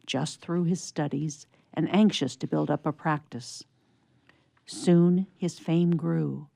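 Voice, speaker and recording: A woman narrating a scripted story for radio theatre in a measured storytelling manner. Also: sits low, gravelly, warm tone, no echo.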